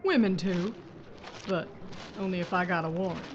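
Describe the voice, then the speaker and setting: A woman's voice: on the low side, moderate pace, dull audio, a little hiss or hum, close to an everyday voice. A woman playing a blunt sheriff. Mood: steely. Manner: terse, dry, gritty. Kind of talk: audio drama acting.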